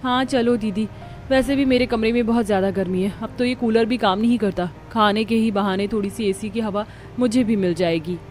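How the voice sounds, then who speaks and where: Cartoon family drama: irritable young city wife played by a woman, complaining and sarcastic, highish voice over faint music. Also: a little fast, darkish tone, lightly acted. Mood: grumbling. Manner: sarcastic, grumbling. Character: irritable young city-bred daughter-in-law, sulky and complaining. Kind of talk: Hindi animated family-drama story acting.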